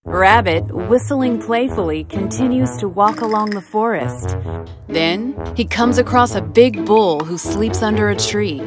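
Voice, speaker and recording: A woman narrates a children's story in a clear, even way. Her voice sits in a middle pitch, and loud music plays behind her throughout. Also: measured pace.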